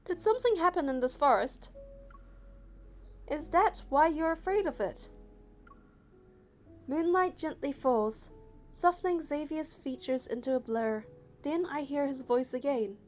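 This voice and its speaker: A woman narrating a game playthrough, reading the story text aloud in a steady, even way. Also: high voice, unhurried, long pauses, soft background music.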